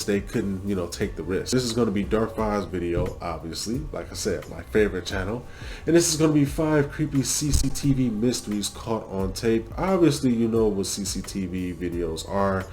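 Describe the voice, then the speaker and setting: Congested, rambling man with a lowish voice. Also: a little mellow. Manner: loose and rambling, with swearing and fillers. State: congested from a cold. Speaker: reaction video host. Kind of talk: casual reaction commentary.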